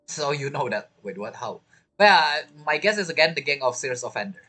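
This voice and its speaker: A woman with a husky voice giving casual, reactive, questioning commentary as she plays a game. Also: low voice, sing-song, a little fast, bright, forceful tone. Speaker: game streamer.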